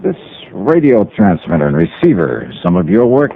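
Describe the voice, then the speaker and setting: A man's voice: on the low side, huge pitch swings, normal speed, vocal fry, a little hiss or hum, heavily acted. An actor playing a confident, sardonic male insurance investigator who narrates a 1950s radio drama in a wry, assured way. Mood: confronting. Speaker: lead and narrator. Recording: tinny lo-fi old radio recording.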